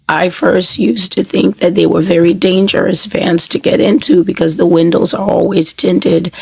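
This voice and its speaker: A woman minister with a hoarse voice she says she has lost, speaking a sermon to camera in slow, measured, repetitive phrases. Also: fairly low pitch, darkish tone, no room sound. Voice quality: raspy, breathy and gravelly. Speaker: prophetic minister. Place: New York City.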